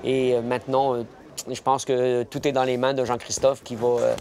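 A man's voice: mid-range voice, level tone, normal speed, a little mellow. Hesitant man in his sixties. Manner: hesitant, many fillers. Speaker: team manager. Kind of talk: documentary interview. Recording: interview under a music bed.

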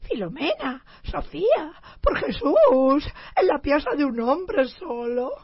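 Woman acting a high-voiced, fussy immigrant wife. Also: very animated, slow pace, dry recording, lo-fi sound, heavily acted. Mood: scandalized, hesitant. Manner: exclamatory, fussy. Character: Galician immigrant wife, scandalized yet tempted. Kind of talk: comedic radio theatre acting.

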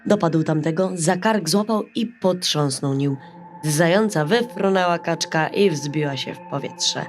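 A woman storyteller narrating a bedtime fairy tale in an expressive, scripted style. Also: low-pitched, measured pace. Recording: music under the voice.

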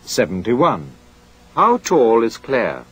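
A man reading a scripted language course drill in a clear, measured way. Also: mid-range voice, wildly swooping pitch.

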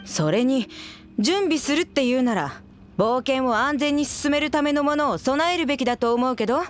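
A brisk, dismissive receptionist in an anime game. Her voice is high and lively in pitch, firmly brushing people off. Faint music plays underneath. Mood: curt, unimpressed. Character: brisk, dismissive adult woman, adventurers' guild receptionist.

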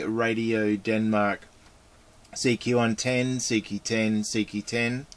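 An Australian man working as an amateur radio operator, speaking in procedural phrases, phonetic call signs and friendly radio chat. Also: lowish voice.

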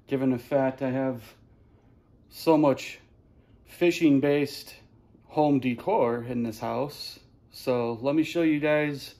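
A man, casually showing off his living room decor on a phone camera in a plainspoken, relaxed way. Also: medium pitch. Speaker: fishing channel host. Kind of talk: casual show-and-tell vlog. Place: living room at home, indoors. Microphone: handheld phone camera.

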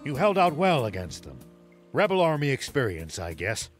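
An actor playing a stern, level-headed old man in a video game, speaking in a grave, measured way. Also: mid-range voice, wildly swooping pitch, soft background music. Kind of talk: animated video game cutscene acting.

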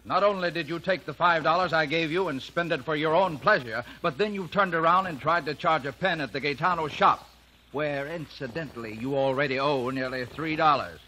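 A man's voice: a little high, brisk, sharp tone. An actor playing a proud, stern middle-aged American father, formal and authoritative, in 1950s radio drama style. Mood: angry. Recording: noisy vintage radio recording.

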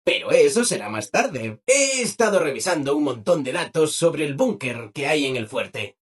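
An eager robot helper from a video game, speaking with a Spain Spanish accent. He is exaggeratedly polite and cheerful, with very animated pitch swings and a slightly husky tone. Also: normal speed. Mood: upbeat, informative. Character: relentlessly cheerful, obliging male robot assistant. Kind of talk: video game character dialogue.

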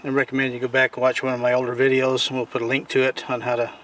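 An older man talks through a repair job in a folksy, rambling way, standing out in his backyard. The camera's mic picks him up from a few steps off, and steady outdoor noise sits under his voice. His pitch is ordinary for a man. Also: racing, far from the mic. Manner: folksy, rambling, plain-spoken. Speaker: homesteading channel host. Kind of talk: casual how-to demonstration. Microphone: camera mic from a distance.

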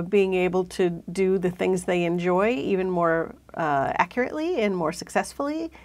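Casting: middle-aged female interview host, slightly low voice, conversational delivery, studio lapel mic. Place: indoor studio.

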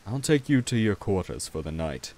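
A man voicing a courtly, protective vampire king in an ASMR roleplay, gentle and wry, speaking formally and reassuringly to the listener without hurry. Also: lowish voice, big pitch swings, moderate pace. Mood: calm, protective.

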